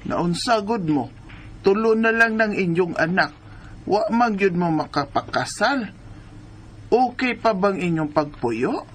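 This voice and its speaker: A woman's voice: medium pitch, lively intonation, slow. Casting: woman to play blunt older mother, Bisaya accent, scolding radio drama delivery, some noisy ambience. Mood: probing. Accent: Philippine, Bisaya. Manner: scolding, questioning. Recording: background noise and ambience.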